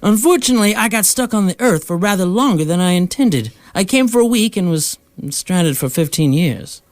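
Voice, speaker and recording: A woman's voice: medium pitch, lively intonation, normal speed, a little mellow, no echo, lightly acted. A woman playing a breezy, unflappable galactic hitchhiker who explains things matter-of-factly in a radio comedy. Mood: breezy, explaining. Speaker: radio drama actor.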